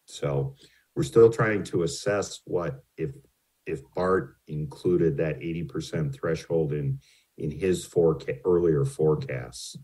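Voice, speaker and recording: A man's voice: extremely low pitch, mellow, slightly rough, poor audio. A man presenting financial slides to a city council, hesitant and full of ums and restarts, who says his voice tends to carry. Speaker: utility rate consultant presenting to a city.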